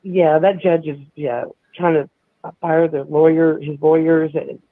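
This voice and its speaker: A man with a raspy voice, hoarse from being sick, chatting casually as a podcast co-host in a halting, agreeable way. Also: on the high side, level tone, dry recording. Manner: halting, restarts often, agreeable. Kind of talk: casual chat. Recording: tinny phone line.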